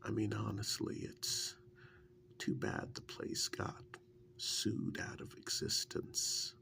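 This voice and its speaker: A man playing an easygoing, wryly fond long-term partner in an ASMR role-play, speaking softly and breathily in a halting, musing way. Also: lowish voice, wildly swooping pitch, a little hiss or hum, exaggerated voice. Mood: nostalgic, wry. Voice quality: soft, breathy, gravelly, half-whispered. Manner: halting, unhurried, musing with many pauses.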